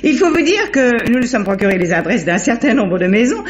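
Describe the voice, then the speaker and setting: A woman's voice: on the high side, sing-song. Bold lady sleuth, dull old recording. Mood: smooth sales patter. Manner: polite, quick-witted, articulate. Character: resourceful young lady sleuth, smooth-tongued and bold. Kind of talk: 1950s French radio mystery acting.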